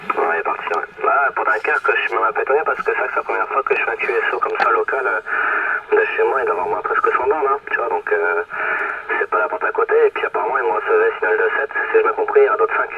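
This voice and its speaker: A man chatting casually as an amateur radio operator, in halting phrases, heard over a radio transmission. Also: on the low side, animated. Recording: very noisy, muffled, distant radio transmission. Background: loud radio static.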